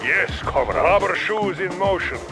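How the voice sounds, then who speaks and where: An actor playing an eager, obedient Soviet trooper, giving terse military replies in a video game. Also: male voice, sits high, animated, normal speed, over music. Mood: dutiful, eager. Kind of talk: clipped video game unit responses.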